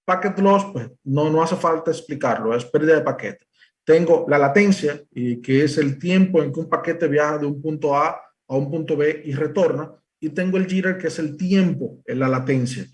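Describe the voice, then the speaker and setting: A man's voice: a little high, moderate pace. A young man with a Dominican Spanish accent giving a technical product presentation, explaining step by step with many fillers and restarts. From Dominican Republic. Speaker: presales engineer presenting.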